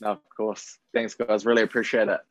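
A man speaking as a guest in a measured, hesitant way with many fillers. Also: lowish voice, level tone. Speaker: guest, team performance director. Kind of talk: casual podcast interview. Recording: dull dry lo-fi audio.

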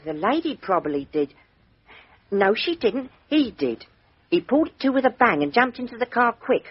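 A woman's voice: on the high side, sing-song, moderate pace, breathy edge. A woman voicing a cheeky, blunt errand boy in a dull-sounding old radio drama recording. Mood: eager. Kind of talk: 1950s radio drama acting.

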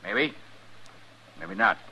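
A man's voice: fairly low pitch, expressive, drawn-out words, sharp tone, heavily acted. A man playing a surly, callous rancher in an old radio western, with an American accent and an insolent, drawling manner. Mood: surly, sly. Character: surly, grudging male rancher, callous troublemaker. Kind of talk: 1950s radio western acting. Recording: dull, hissy old radio recording.

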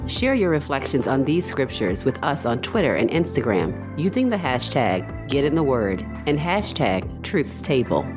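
A woman's voice: very deep voice, sing-song, normal speed, hardly any pauses. A woman reading scripted podcast announcements and credits as an announcer. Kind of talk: scripted narration. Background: music.

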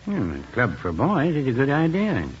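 A man's voice: mid-range voice, huge pitch swings, normal speed, slightly rough, exaggerated voice. An actor in a 1950s radio comedy playing a mild, fussy older man with a droll, quibbling way of talking. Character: dry, mild, fussy older male small-town friend. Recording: muffled 1950s radio recording with hiss.

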